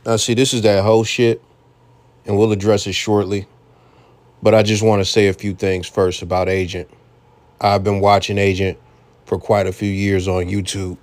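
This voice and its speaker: A man's voice: lowish voice, little pitch movement, moderate pace, long pauses, darkish tone. An American man hosting a reaction commentary, talking bluntly and casually with frequent profanity. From United States. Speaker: commentary channel host.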